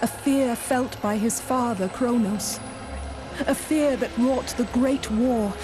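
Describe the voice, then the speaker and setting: A woman playing a noble, solemn goddess in a video game, speaking gravely and earnestly in deliberate phrases. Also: on the high side. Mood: solemn warning. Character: noble, solemn goddess pleading to defend Olympus. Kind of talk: dramatic video game acting. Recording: orchestral music behind voice.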